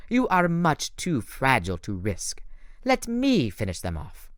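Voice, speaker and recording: A man's voice: low-pitched, wildly swooping pitch, normal speed, mellow, breathy edge, no room sound, studio quality, voice pushed down, heavily acted. Man doing a sneering French-accented villain. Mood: sly. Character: sly male villain doctor, French accent, cruel.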